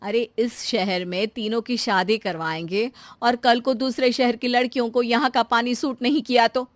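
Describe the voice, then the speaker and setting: A woman voicing a fretful, sharp-tongued middle-aged housewife in an animated story, complaining dramatically. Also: fairly high pitch, normal speed, dry recording, natural voice. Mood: worried.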